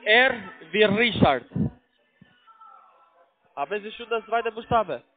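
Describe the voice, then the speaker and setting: A man with a distinctly high voice comes through a narrow, tinny phone line. He has a foreign accent in his German and works as a call center tech agent. He gives instructions piece by piece, tagging them with little check questions. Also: expressive, slow pace. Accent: foreign, non-native German. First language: not German. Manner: repetitive, keeps checking with tag questions.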